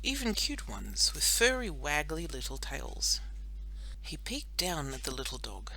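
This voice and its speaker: A woman narrating a children's audiobook expressively, with dramatic pauses and drawn-out phrases. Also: deep voice, wildly swooping pitch, pushed and bright, low-quality recording.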